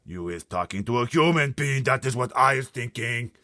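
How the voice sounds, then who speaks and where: A man voicing a huge, bullying, man-eating giant in a storybook, booming out threats and mangled words. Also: lively intonation, normal speed, bright, forceful tone, no room sound, studio quality. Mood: suspicious, booming. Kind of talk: storybook read-aloud character voice.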